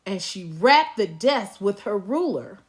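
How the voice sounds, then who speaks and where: A woman narrating a children's story aloud as an expressive storybook reader. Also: mid-range voice, measured pace.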